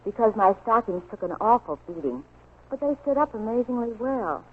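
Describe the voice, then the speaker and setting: A woman's voice: fairly high pitch, very animated, fairly quick, breathy edge. A young woman as an interview guest giving a scripted testimonial on 1940s radio. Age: young adult. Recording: tinny, lo-fi 1940s radio recording.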